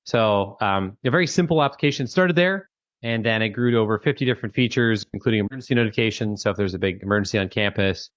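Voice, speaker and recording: A man with a Canadian accent talks casually in a lowish voice, full of fillers and quick restarts. The audio is dry and dull, like a remote call. He sounds like a founder in his thirties. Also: no room sound. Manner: fast, chatty, many fillers and restarts. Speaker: guest, startup founder and author. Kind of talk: casual interview conversation.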